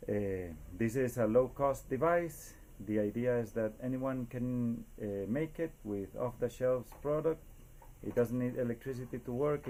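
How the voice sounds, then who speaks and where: Costa Rican man, Spanish-accented, unhurried and gravelly, haltingly explaining a homemade device, faint background noise. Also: fairly low pitch, a little mellow. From Costa Rica. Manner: halting, searching for words, with Spanish filler words. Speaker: amateur inventor demonstrating a homemade device. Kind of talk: informal explainer video.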